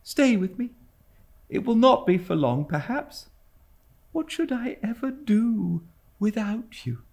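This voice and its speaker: A man doing a gentle, fragile young mother. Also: high-pitched, animated, slow, vocal fry, pitched above the performer's own voice, heavily acted. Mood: pleading. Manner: soft, pleading, fluttery.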